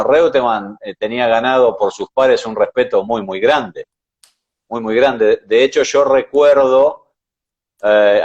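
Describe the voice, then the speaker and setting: A man with an Argentine accent, co-hosting a casual motorsport chat, talking in a measured way with hesitations. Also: mid-range voice, a little mellow, lo-fi sound. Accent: Argentine Spanish. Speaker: co-host.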